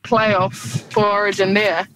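A woman's voice: mid-range voice, flat delivery, measured pace, fairly bright tone, poor audio. Casting: young woman from New Zealand, easygoing and chatty, mid-range voice, faint hiss behind. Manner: laid-back, chatty, laughs often, says "reckon" and "like". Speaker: rugby league player, team captain. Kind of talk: casual interview chat.

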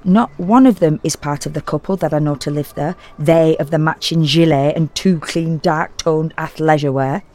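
A woman playing an exhausted, fretful woman who narrates a confessional audio drama monologue in an intimate, wry, deliberate way. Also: low-pitched, mellow, natural voice. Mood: agitated, watchful. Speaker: narrator and actor.

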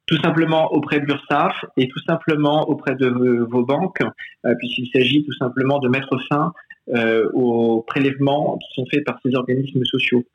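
A man's voice: mid-range voice, fairly even intonation. A man speaking as a guest financial advisor from a remote connection, explaining step by step with frequent hesitations. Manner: measured, explanatory, many hesitations. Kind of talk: remote interview. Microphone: remote call. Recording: dull remote line with faint hiss.

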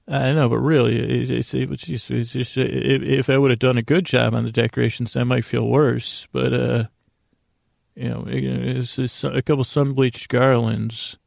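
Man, extremely creaky voice, mid pitch, rambling stop-start delivery, repeated words, self-deprecating, very dry recording. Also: little pitch movement, a little mellow, no echo. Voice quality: gravelly, creaky. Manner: meandering, halting, stammering with repeated words. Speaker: podcast host. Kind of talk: rambling bedtime podcast monologue.

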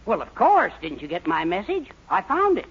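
A woman's voice: mid-range voice, huge pitch swings, normal speed, breathy edge. An actress playing a breezy, matter-of-fact woman in 1940s radio sitcom style. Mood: surprised, breezy. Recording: muffled 1940s radio recording.

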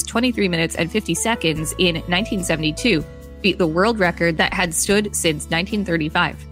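Female narrator, lower register, scripted informational read with occasional casual asides, faint guitar underneath. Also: fairly bright tone. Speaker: co-host reading an article aloud. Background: faint guitar music.

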